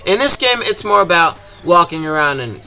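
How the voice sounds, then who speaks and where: A man's voice: a little high, lively intonation, moderate pace, vocal fry, bright, forceful tone, faint music behind, low-quality recording. A man giving a casual, jokey, scripted video game review as a YouTuber, with some swearing.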